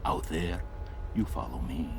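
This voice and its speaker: An actor playing a proud, domineering Soviet general in a game cutscene, threatening. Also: male voice, a bass voice, a little slow, gravelly, slight background noise, full character voice. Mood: arrogant menace. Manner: arrogant, threatening. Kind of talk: video game cutscene acting.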